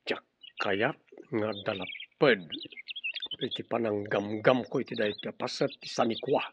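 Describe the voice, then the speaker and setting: An actor playing a ruthless, short-tempered, greedy crime boss in a radio drama, domineering and mocking. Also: male voice, fairly low pitch, a little slow. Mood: scheming. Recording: dull lo-fi audio with faint hiss.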